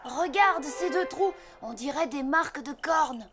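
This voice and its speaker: A woman's voice: high-pitched, animated, a little slow. A woman playing an earnest, clever young boy in a French children's cartoon. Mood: curious, excited. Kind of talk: French animated cartoon dub. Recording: loud music behind the voice.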